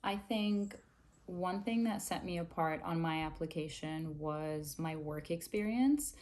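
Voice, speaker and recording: A young woman from Zambia, a dental student, answering interview questions to camera in a measured, earnest way from a small room. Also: lowish voice, darkish tone, a little hiss or hum. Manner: measured, earnest, explains with hand gestures. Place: small indoor room, plain wall.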